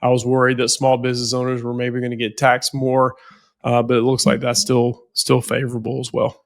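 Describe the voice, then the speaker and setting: A man's voice: mid-range voice, completely flat delivery, fairly quick, gravelly. A financial planner with a head cold, sounding nasal, talking casually on a podcast and often repeating words as he goes.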